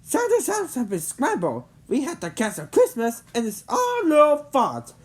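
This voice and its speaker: A woman's voice: sits high, wildly swooping pitch, a little slow, pitched above the performer's own voice, full character voice. A woman voicing a bossy, indignant male head elf in a storybook, scolding as he talks.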